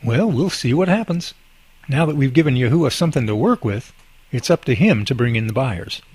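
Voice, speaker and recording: A man's voice: medium pitch, expressive, a little fast, a little mellow, husky, dry recording. A man acting a cheerful, devout working husband in an amateur radio drama, with a folksy, good-humored manner. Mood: hopeful.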